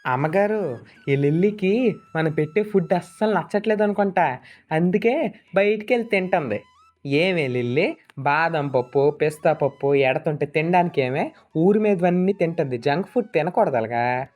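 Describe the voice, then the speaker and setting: A woman voicing a rustic male household servant with colloquial speech in an animated moral story. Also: low-pitched, normal speed. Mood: complaining, then teasing. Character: male household servant, sly yet guilt-prone, rustic. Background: faint music.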